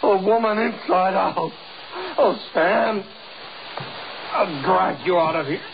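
A bossy determined doctor in a 30s horror radio play, american accent, gravelly edge, muffled old recording. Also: male voice, sits high, slow, noisy background, full character voice. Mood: panicked, desperate. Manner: urgent, commanding, melodramatic. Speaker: actor in a horror radio drama. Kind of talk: 1930s radio horror acting.

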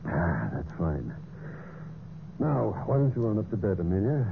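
A scheming husband in a vintage radio mystery. His voice is on the low side and swings widely in pitch, edgy and evasive, on a thin, tinny old broadcast recording. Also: big pitch swings, measured pace, vocal fry, noisy background, exaggerated voice. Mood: evasive, nervous. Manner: hesitant, stalling with uhs when speaking to others. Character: scheming husband narrating his murder plot, nervously evasive. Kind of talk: 1940s-50s radio mystery acting with first-person narration.

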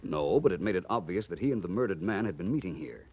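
An actor playing a suave, self-assured private detective, polished in manner, in a 1940s radio drama. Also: male voice, deep voice, expressive, fast, husky, exaggerated voice. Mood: explaining. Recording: dull 1940s radio recording.

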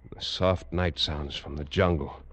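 A deep-voiced hard-boiled detective. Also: male voice, fairly even intonation, moderate pace. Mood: tense. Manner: clipped, hard-boiled narration. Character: tough American detective narrator. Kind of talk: 1950s radio drama acting. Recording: dull old radio recording.

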